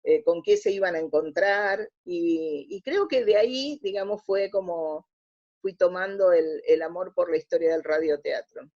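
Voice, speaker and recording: A woman with an Argentine accent, speaking as an interview guest, telling anecdotes in a halting, reflective way. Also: fairly low pitch, darkish tone. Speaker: interview guest, radio theatre historian. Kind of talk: conversational interview, anecdotal storytelling. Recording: dull lo-fi audio.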